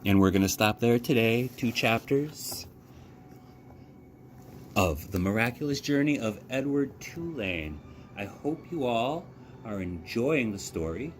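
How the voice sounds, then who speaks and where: A man with a fairly low voice narrates a children's book. His delivery swings widely and expressively in pitch, with faint noise in the background. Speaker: library children's storyteller. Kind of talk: expressive read-aloud narration.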